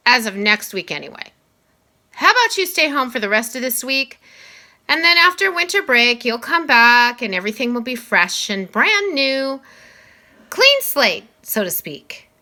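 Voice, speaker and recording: A woman voicing a kindly middle-aged male school principal in a children's storybook, speaking hesitantly and gently but firmly. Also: a little high, very animated, a little slow, voice pushed up, exaggerated voice. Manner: hesitant, explanatory, gently firm. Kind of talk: children's novel read aloud.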